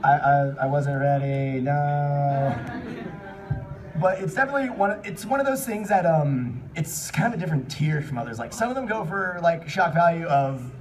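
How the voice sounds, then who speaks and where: Man, high-ish voice, rambling casual panel host, jokey, distant mic, faint room noise. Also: expressive, normal speed. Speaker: panel host answering audience questions. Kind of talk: casual unscripted live fan panel talk. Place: live convention panel room.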